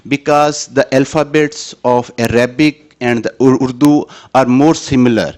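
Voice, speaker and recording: Guy presenting at a conference, normal pitch, lots of uh and restarts. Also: darkish tone. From Pakistan. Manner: hesitant, halting, many restarts.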